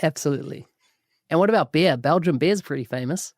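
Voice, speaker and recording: Upbeat New podcast host. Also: male voice, on the high side, lively intonation, fast, no echo, studio quality. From New Zealand. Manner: enthusiastic, warmly praising. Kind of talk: podcast interview.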